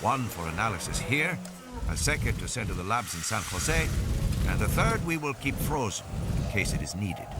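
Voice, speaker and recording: A man voicing a careful, formal male hospital doctor with a measured, precise manner. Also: lowish voice, big pitch swings, heavy background noise.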